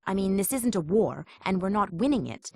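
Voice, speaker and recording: A woman's voice: medium pitch, lively intonation, normal speed, dry recording. Doubtful troubled woman on the news, earnest, very dry game recording.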